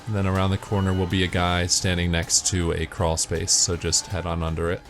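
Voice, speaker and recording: A man's voice: deep voice, completely flat delivery, brisk, faint music behind. A man giving matter-of-fact, step-by-step instructional commentary as he narrates a video game walkthrough.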